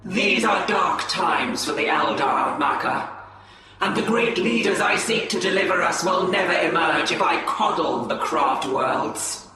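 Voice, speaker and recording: An actress playing a stern, ancient elf warrior mentor in a video game, speaking with deliberate command. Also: female voice, lowish voice, animated. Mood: stern challenge. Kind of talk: video game cutscene acting. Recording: cavernous reverb, distant, over music.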